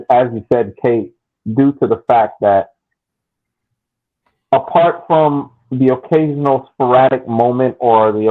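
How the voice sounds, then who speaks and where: Man, podcast co-host, husky voice, phone-like sound, long analytical explanations, restarts. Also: mid-range voice. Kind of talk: casual sports chat.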